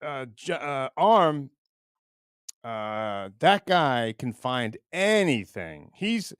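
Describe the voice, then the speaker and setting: A man's voice: medium pitch, very animated, drawn-out words, clear, resonant tone, no echo, studio quality. A man throwing in casual banter and reactions.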